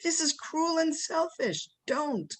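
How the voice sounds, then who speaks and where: Actress as distraught loyal sister, radio play, emotional, imploring, very lively pitch swings, highish voice. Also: female voice, takes it slowly.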